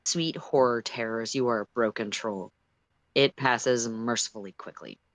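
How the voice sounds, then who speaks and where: Expressive storyteller with a very dry, close sound. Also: mid-range voice, a little slow, no room sound, rough recording. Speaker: storytime livestream reader. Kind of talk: expressive fan fiction reading in second person.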